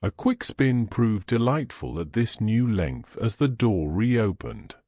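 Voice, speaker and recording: Male narrator for fantasy fiction: unhurried, very expressive intonation, dry close sound. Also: lowish voice, no echo. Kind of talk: scripted storytelling narration.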